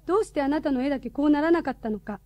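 A woman's voice: sits high, rapid-fire, a little mellow, no echo, lightly acted. An actress playing a serious, courteous woman in a radio drama, speaking formally and politely. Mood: serious inquiry.

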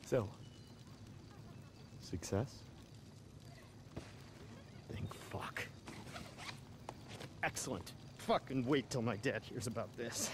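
A man's voice: medium pitch, lively intonation, background noise. Swaggering, spoiled young rich guy. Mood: relieved. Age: young adult. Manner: halting, profane, cocky. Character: spoiled, foul-mouthed young rich party boy. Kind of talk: video game cutscene acting.

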